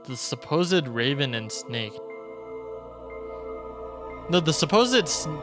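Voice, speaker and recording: A man streaming a game, reading story text aloud and adding his own commentary, with game music behind him. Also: mid-range voice. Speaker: streamer playing a visual novel. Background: video game music.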